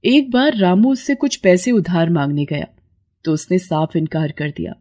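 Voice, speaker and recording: A woman's voice: lowish voice, normal speed, mellow. A woman narrating a scripted moral tale as storyteller.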